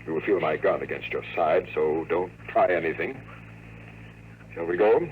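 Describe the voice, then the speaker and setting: A man's voice: low-pitched, moderate pace, close to an everyday voice. An actor playing a cold, threatening male gunman with terse delivery in a 1950s radio drama. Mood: cold menace. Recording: dull, lightly noisy old radio recording.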